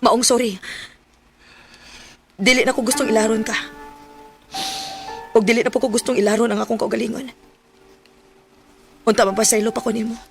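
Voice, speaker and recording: A woman's voice: fairly high pitch, steady tone, normal speed, pauses often, over music, lightly acted. A woman acting a blunt, exasperated young girlfriend in a radio drama, with a Cebuano Filipino accent. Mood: regretful, confessing. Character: young woman Sarah, blunt, irritated girlfriend confessing the truth.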